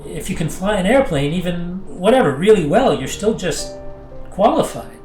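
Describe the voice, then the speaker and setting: A man playing an earnest, easily rattled pilot in an audio drama, halting and indignant in his delivery. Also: sits high, animated, a little mellow, roomy sound, faint music behind, poor audio. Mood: puzzled. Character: defensive, flustered, earnest male pilot. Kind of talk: naturalistic audio drama dialogue.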